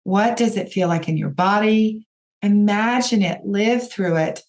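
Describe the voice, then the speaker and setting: A woman with a raspy voice, hoarse from a cold, hosting a podcast and giving direct, encouraging coaching talk to her listeners. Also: medium pitch, unhurried, a little mellow. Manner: encouraging, direct, addresses listeners as you guys. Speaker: podcast host and business coach.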